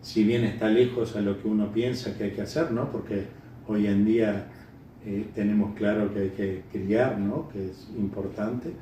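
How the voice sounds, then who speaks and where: A middle-aged Uruguayan man answering interview questions off the cuff, halting and repeating words as he thinks. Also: fairly low pitch, fairly even intonation, dark tone. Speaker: interviewee, bird breeder. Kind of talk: unscripted interview answers, reminiscing. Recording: echoey room, distant mic, faint noise.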